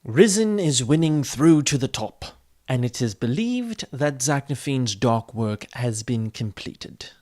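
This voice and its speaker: A man voicing a treacherous young dark-elf nobleman in a fantasy audiobook, smug and teasing. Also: medium pitch, very animated, unhurried. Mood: smug, scheming.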